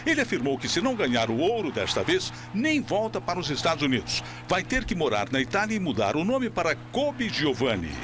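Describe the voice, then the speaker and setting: Brazilian newsman with lively, high-ish pitch. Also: brisk, few pauses. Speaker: TV news presenter. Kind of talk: scripted news narration. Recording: music bed behind the voice.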